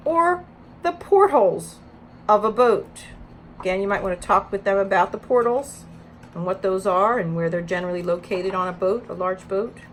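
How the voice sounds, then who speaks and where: A woman's voice: medium pitch, a bit bright. Woman teaching through a read-aloud, noisy recording. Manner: instructional, emphatic when reading aloud. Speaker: early childhood educator.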